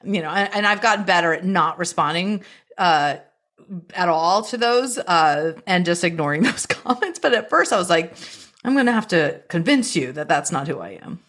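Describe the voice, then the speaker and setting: A woman's voice: fairly low pitch, expressive, moderate pace, a bit bright. A woman hosting a casual interview, talking candidly and feeling her way through rambling questions. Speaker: interview show host.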